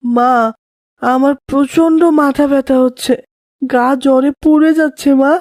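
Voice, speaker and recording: A woman's voice: sits high, fairly even intonation, unhurried, a little mellow, no echo, studio quality. A woman voicing a scornful young schoolboy, a rude older brother, in a children's cartoon. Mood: sick, frightened. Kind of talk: children's moral cartoon voice acting.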